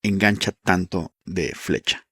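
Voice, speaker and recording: A man hosts a podcast, asking curious and playful questions. His voice is very low. The sound is close and dry. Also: slow pace, fairly bright tone, slightly rough, no echo. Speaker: podcast host. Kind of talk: interviewing on a podcast.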